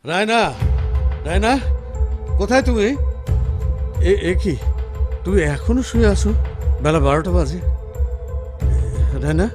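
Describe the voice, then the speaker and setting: A man's voice: high voice, animated, unhurried, pauses often. A man acting a busy husband in an audio drama. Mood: alarmed, urgent. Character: busy husband, placating at home, later frantic. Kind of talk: Bengali radio drama acting. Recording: music playing behind the voice.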